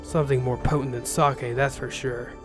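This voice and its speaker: A man's voice: mid-range voice, flat delivery, normal speed. Wry man narrating a story over music. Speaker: narrator reading an interactive novel. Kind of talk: first-person story narration in character as a gruff ronin. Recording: music bed under the voice.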